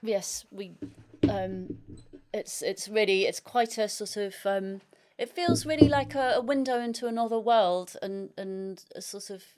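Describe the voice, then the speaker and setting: A woman's voice: mid-range voice, unhurried, darkish tone, slight background noise. A woman interviewing a guest in a conversational, informal way, phrasing her thoughts tentatively.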